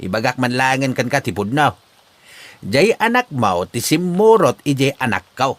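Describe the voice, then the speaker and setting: A man with an Ilocano Filipino accent playing a gruff, exasperated elderly village father in a comedy drama. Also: mid-range voice, big pitch swings, moderate pace, no room sound, lightly acted. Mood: exasperated, evasive. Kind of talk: amateur comedy drama acting.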